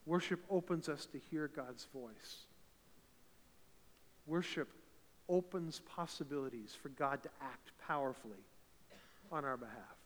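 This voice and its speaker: Man, pastor from eastern Canada, big voice pitched a bit high, fervent sermon, church hall reverb. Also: measured pace, long pauses. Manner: fervent, builds to loud emphatic peaks. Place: church sanctuary.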